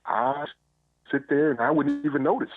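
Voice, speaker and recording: A man's voice: a little high, moderate pace. A man answering interview questions as an author, in a reflective, conversational way, often saying "you know". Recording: muffled, phone-like line.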